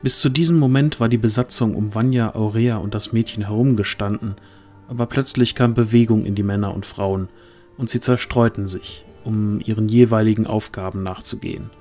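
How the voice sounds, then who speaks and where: A man's voice: lowish voice, fairly even intonation, brisk, a little mellow, soft background music. A man reading scripted narration for a fantasy audio drama.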